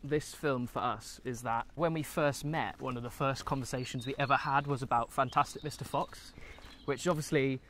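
A man's voice: medium pitch, steady tone, moderate pace, fairly bright tone. A British man in his twenties chatting casually and musingly as he walks outdoors, co-hosting a film discussion. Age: 20s. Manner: rambling, musing. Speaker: film review co-host. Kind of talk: casual chat while walking. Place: outdoors, walking through countryside and woods. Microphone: handheld camera at arm's length. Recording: outdoor camera audio with background noise.

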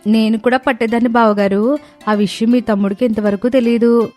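A woman voicing an eager, cheeky young daughter-in-law in an animated story, excited and chatty. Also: on the high side, brisk, faint music behind, close to an everyday voice. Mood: proud, eager. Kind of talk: animated moral story dialogue.

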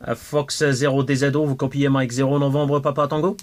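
A man at a radio desk talks as an amateur operator in a casual exchange of call signs. His phrasing is halting, with words repeated as he goes. His pitch sits in a middle male range. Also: flat delivery, a little hiss or hum.

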